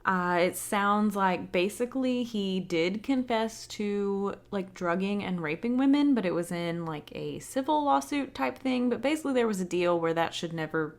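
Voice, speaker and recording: A woman's voice: mid-range voice, expressive, moderate pace, hardly any pauses. A woman co-hosting a casual podcast from home, giggly and blunt, with frequent fillers. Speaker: podcast co-host. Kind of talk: casual chat. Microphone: home recording setup.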